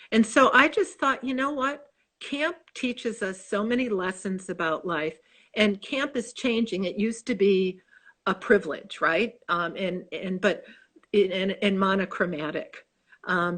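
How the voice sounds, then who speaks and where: A woman's voice: medium pitch, fairly bright tone. A woman in her sixties with a Chicago accent, an author talking eagerly and in rambling restarts over a video call. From Midwest United States. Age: 60s. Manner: enthusiastic, rambling, many restarts. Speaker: author guest. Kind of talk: casual video chat interview.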